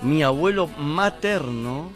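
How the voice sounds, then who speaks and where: A man's voice: fairly high pitch, animated, slow. Argentine man chatting over music. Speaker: guest. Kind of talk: casual chat. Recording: music playing behind the voice.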